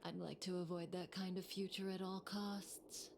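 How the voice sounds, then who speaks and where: Low, composed operative. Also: female voice, level tone, vocal fry, noisy background. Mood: calm, controlled. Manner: measured, poised. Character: composed, calculating woman operative, quietly in command. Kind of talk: video game drama.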